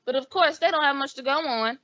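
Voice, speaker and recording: An actress playing a gossipy, harried small-town telephone operator, chatty and brisk, in old-time radio style. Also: female voice, high voice, sharp tone, no echo, muffled recording.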